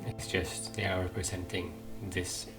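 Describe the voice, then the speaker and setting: A man's voice: deep voice, level tone, unhurried. A man giving casual playthrough commentary, thinking aloud in a hesitant, musing way. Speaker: gaming video host. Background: game music.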